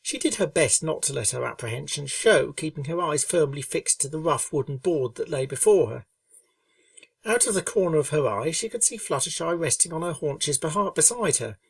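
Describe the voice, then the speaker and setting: A woman narrating a storybook tale in a steady, expressive reading voice. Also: deep voice, normal speed, vocal fry, no echo, recorded from a distance. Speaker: reader.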